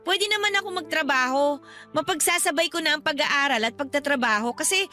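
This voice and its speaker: Stubborn teen daughter in a radio drama, really high bright voice, whiny pleading. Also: female voice, a little fast, soft background music. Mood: pleading, defensive. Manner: pleading, plaintive. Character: hurt, stubborn eighteen-year-old daughter yearning for independence. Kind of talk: Tagalog radio drama acting.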